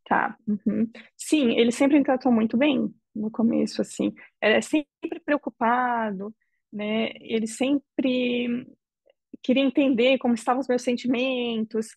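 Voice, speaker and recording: A Brazilian woman interviewee telling her personal story in a measured, reflective way, often ending phrases with a questioning tag. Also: a little high, fairly even intonation, creaky, darkish tone, no room sound. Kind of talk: emotional personal testimony.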